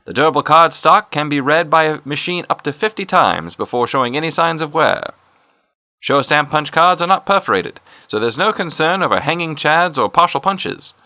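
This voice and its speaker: An actor playing a polished, upbeat announcer, salesmanlike and emphatic in the style of a retro radio serial advert. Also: male voice, fairly high pitch, animated, moderate pace, bright, forceful tone. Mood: upbeat, selling. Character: polished, upbeat male announcer pitching a sponsor's product.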